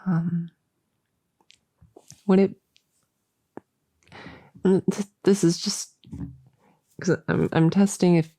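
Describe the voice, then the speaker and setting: A very mellow, slightly low female voice, close and very dry, acted by a woman as a nervous cultist in an intimate audio roleplay. She is lonely and gentle under a bossy front, halting and stammering as she rambles softly, with breathy edges. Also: little pitch movement, no echo, exaggerated voice. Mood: tender, shy. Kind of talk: intimate roleplay audio drama, sleep-aid ASMR. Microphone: close, dry microphone.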